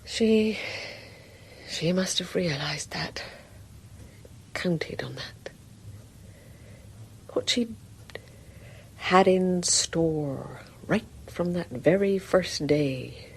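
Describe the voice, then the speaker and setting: An actress playing an anguished, frightened young wife in a radio drama, breathy and halting, often slipping into whispers. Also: female voice, on the low side, a little hiss or hum, full character voice. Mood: haunted, bitter. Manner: halting, fragmented, lingering delivery.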